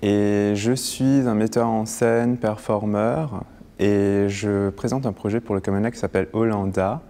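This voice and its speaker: A stage director from Mauritius explaining his project in an interview, in a steady storytelling way. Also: fairly low pitch, a little slow, big-room sound.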